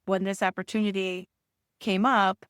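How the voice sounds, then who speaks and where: A woman's voice: medium pitch, little pitch movement, moderate pace, fairly bright tone, clear, resonant tone, dry recording, clean studio sound. A middle-aged woman co-hosting a podcast, telling her story in an earnest, reflective way. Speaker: podcast co-host. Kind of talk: casual chat.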